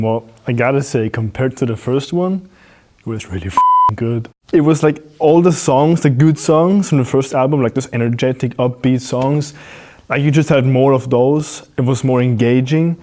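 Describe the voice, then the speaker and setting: A man's voice: mid-range voice, darkish tone. Blunt, enthusiastic young man. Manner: blunt and enthusiastic, swears casually. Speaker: interviewee. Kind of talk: casual interview answer.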